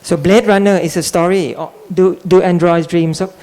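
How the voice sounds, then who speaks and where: Gravelly Thai man giving a lecture. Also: high voice, fairly even intonation, a little mellow. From Thailand. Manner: halting, restarts and repeats words, clears throat often. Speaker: guest lecturer and translator. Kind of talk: public lecture.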